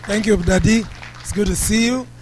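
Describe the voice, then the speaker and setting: A man's voice: high voice, moderate pace, noisy background. A man hosting a church service, greeting and introducing visitors in an upbeat way. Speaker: service host.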